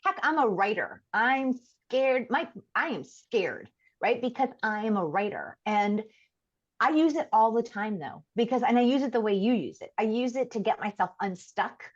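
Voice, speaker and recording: A woman in her fifties, a podcast guest chatting casually in an animated, emphatic way. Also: a little high, lo-fi sound. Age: 50s. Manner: animated and emphatic, quick to laugh.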